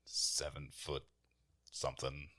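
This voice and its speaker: A man voicing a towering goliath warrior in a tabletop game, blunt, unhurried and calmly menacing, with a deep gravelly voice. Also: full character voice. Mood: indignant.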